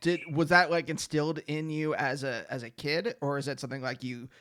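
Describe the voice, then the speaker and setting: A man's voice: on the high side, steady tone, fairly quick, fairly bright tone. A man hosting a podcast, asking curious, loosely phrased questions and giving short replies while his guest talks. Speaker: podcast host. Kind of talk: casual interview.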